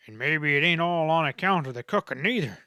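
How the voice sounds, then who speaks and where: A man voicing a folksy, caring rancher father who jokes gently, in a Western audiobook. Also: a little high, lively intonation, a little fast, smooth, dry recording, clean studio sound. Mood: gently joking. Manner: folksy, drawling, gently joking.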